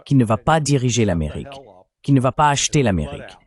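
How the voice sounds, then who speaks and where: A man's voice: mid-range voice, sing-song, fast talker, a little mellow. A man reading a translated voice-over of a political discussion in a blunt, opinionated way.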